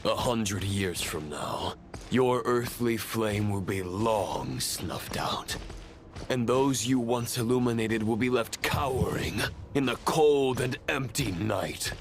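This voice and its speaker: An ancient knightly spirit from a video game, low and very slow. He is proud, scornful and solemn, with archaic, theatrical phrasing over clearly noisy ambience. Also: expressive.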